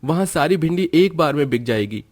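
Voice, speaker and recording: A man acts out a young village husband in a cartoon family drama. He is irritable and argumentative, speaking exasperatedly in a raised pitch. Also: expressive, a little fast, darkish tone, dry recording. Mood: annoyed, planning. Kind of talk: Hindi cartoon story dialogue.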